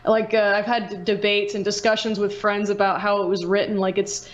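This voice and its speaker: A woman's voice: mid-range voice, little pitch movement, brisk. A woman hosting a casual podcast, chatting informally and jumping in with questions and reactions. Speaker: podcast host, interviewer. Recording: slightly dull lo-fi audio.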